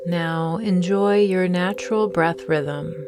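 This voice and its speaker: A woman guiding a meditation in a gentle, soothing manner, with deliberate phrasing and long pauses. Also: fairly low pitch, background noise. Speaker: meditation guide and host. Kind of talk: guided morning meditation.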